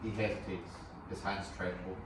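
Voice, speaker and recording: A distant, heavily echoing recording with clear noise behind a man narrating screen directions. The voice is low, reading a film script in dramatic fashion. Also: flat delivery, normal speed. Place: echoey room at a group table read. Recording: very echoey, distant, noisy room.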